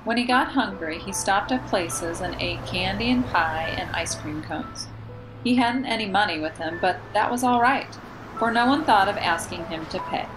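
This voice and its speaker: Female storybook reader, kindergarten-teacher delivery, medium pitch, deliberate emphasis, over prominent instrumental music. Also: poor audio. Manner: deliberate storytelling with emphasised, drawn-out key words. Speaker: kindergarten teacher reading a picture book aloud. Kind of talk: children's storybook read-aloud.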